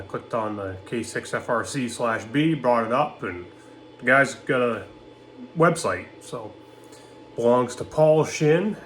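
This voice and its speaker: A man from Maine chatting casually on ham radio, rambling and relaxed. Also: mid-range voice, a little hiss or hum.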